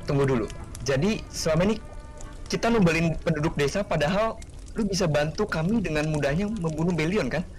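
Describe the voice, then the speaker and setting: A man's voice: fairly high pitch, brisk, lightly acted. A man playing a betrayed, distrustful villager in a Minecraft roleplay, speaking in an accusing, indignant way. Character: betrayed, bitter, distrustful young male villager. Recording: music behind.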